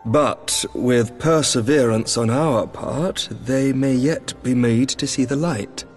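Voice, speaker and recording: An actor voicing a courteous knight commander in a fantasy game, speaking formal, measured lines with a British accent. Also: male voice, medium pitch, faint music behind, lightly acted. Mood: composed, earnest. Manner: formal, stately, measured diction. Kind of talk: dramatic fantasy game voice acting.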